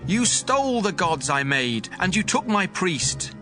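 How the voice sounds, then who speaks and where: A man's voice: sits high, very animated, unhurried, sharp tone, faint music behind, heavily acted. A man voicing Micah, a robbed and desperate man who speaks bitterly and pleadingly. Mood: aggrieved.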